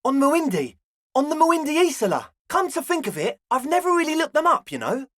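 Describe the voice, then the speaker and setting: Eager, high-voiced young raver dude. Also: male voice, sing-song, fairly quick, fairly bright tone, no room sound, studio quality, full character voice. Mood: explaining. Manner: slacker slang mixed with grandiose phrasing. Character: balding twenty-year-old raver dreamer, eager, earnest, slightly pompous. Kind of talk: video game character dialogue.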